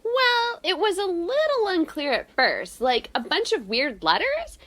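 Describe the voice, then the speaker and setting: An excitable young female student in an audio drama, played by a woman. Her voice is high and bright, with hugely swooping intonation. She gushes and is keen to please. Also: unhurried, exaggerated voice. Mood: animated storytelling.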